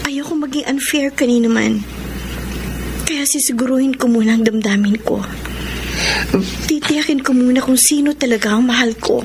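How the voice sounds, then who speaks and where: An actress with a Filipino accent playing an earnest, sorrowful young woman torn in love in a sentimental radio drama, with emotional, halting delivery. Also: high voice, noisy background. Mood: torn, resolute. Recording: radio drama with music underneath.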